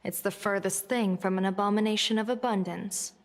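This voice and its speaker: A woman voicing a composed, shrewd strategist in a fantasy video game, with a measured, philosophical and probing manner. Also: medium pitch, fairly even intonation, natural voice. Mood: calm, coaxing. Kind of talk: video game story voice acting. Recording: long reverb, faint music behind.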